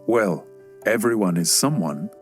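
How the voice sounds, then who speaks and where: A middle-aged man acted by a male narrator talks calmly, with a playful, enigmatic manner. He is a storybook figure, with music playing faintly behind. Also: fairly low pitch, unhurried. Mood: amused, calm. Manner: calm, playfully evasive. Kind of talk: children's audiobook character voice.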